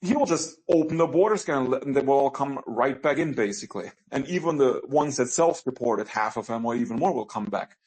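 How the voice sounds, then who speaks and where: A man on a podcast, speaking in measured casual political chat, hedging with "basically". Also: mid-range voice, expressive, darkish tone, poor audio. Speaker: podcast guest.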